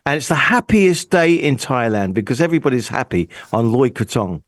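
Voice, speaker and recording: A man's voice: medium pitch, sing-song, brisk, no echo, clean studio sound. A man chatting casually as a show panellist, explaining things in a jokey way. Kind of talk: casual chat.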